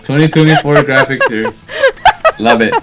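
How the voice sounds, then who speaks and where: A man's voice: medium pitch, moderate pace, low-quality recording. A young man giving short, dry, slangy remarks in casual gaming chat. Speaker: co-commentator. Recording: game music under the voice. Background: video game music.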